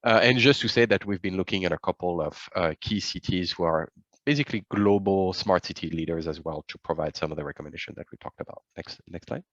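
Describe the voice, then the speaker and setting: A man with a very low voice walks through slides in a webinar, pausing often with fillers and restarting phrases. The audio is dull and lo-fi, like a video call, with a dry, close sound and no room echo. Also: a bit bright. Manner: halting, many fillers and restarts. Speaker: webinar presenter.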